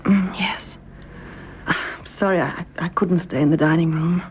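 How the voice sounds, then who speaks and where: Actress as an anguished, hushed young British wife. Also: female voice, fairly low pitch, steady tone, measured pace, close to an everyday voice. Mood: subdued, anxious. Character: anguished, secretive young wife, gently well-bred. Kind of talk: radio drama acting. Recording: dull, noisy radio drama recording.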